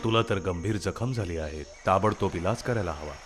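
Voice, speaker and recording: A man's voice: sits low, moderate pace, music in the background, lightly acted. A man playing an authoritative human king in a children's cartoon story, regal and commanding. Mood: compassionate.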